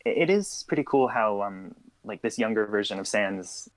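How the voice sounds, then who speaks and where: A man's voice: lowish voice, expressive, normal speed. A tired man hosting a casual podcast, chatting in an agreeable, easygoing way with short replies. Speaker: podcast host.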